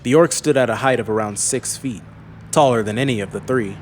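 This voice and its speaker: A man narrating a scripted fantasy audiobook in a measured, serious way. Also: mid-range voice, slight background noise.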